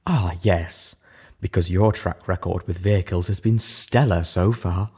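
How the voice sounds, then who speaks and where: A man's voice: low-pitched, unhurried, warm tone, slightly rough. A man voicing a prim, sardonic female pony medic who scolds in refined, precise words.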